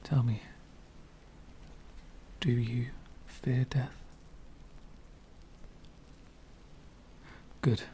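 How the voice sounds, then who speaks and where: A man playing an elegant, centuries-old vampire gentleman, speaking softly and half whispering in a refined, wistful ASMR roleplay. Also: mid-range voice, fairly even intonation, slight background noise. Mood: solemn. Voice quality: soft, breathy whispering. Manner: refined, wistful, slow and deliberate.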